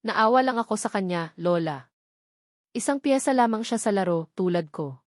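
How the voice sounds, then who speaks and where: A woman's voice: medium pitch, expressive, moderate pace, dry recording, clean studio sound, close to an everyday voice. A woman storyteller voicing a guarded young rich man who stays polite, cold and controlled. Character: guarded young billionaire grandson feigning calm.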